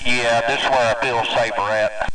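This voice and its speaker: A man's voice: mid-range voice, little pitch movement, moderate pace, pushed and bright. A man with a Southern US accent chatting casually as an operator over CB radio. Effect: CB radio transmission. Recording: hissy distant CB radio speaker.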